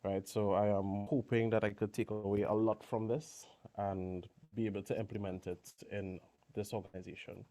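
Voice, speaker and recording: A man's voice: fairly low pitch, flat delivery, moderate pace, warm tone. A man introducing himself casually as a webmaster in an online meeting. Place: remote video meeting.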